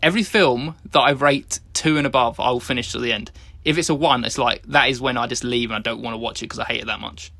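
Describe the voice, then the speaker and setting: A man's voice: mid-range voice, steady tone, fast, vocal fry, bright, forceful tone. A young man co-hosting a casual film review podcast, hedging and defending his opinions with many restarts. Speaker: podcast co-host.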